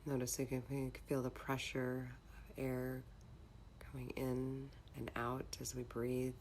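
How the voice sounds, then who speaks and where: Very low-pitched woman guiding meditation. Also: slight background noise, low-quality recording. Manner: calm, measured, instructional. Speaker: meditation guide. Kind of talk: guided meditation narration.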